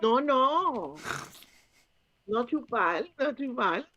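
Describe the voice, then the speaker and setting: A woman's voice: fairly high pitch, expressive, slow pace, sharp tone, no echo, muffled recording. A woman chatting casually beside the streamer and telling him about a dream. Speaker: streamer's companion. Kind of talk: casual chat.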